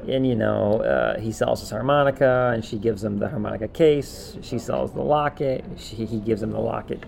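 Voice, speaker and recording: A man's voice: on the low side, normal speed, creaky, darkish tone. A man co-hosting a podcast, chatting casually and recapping in a rambling, offhand way. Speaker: podcast co-host.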